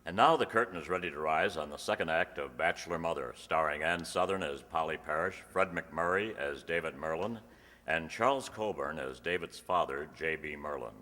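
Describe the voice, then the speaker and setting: A man with an extremely deep voice announces in old-time radio style. His tone is bright and forceful. The words are scripted and echo with a long hall reverb. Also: moderate pace. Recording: reverberant hall stage recording.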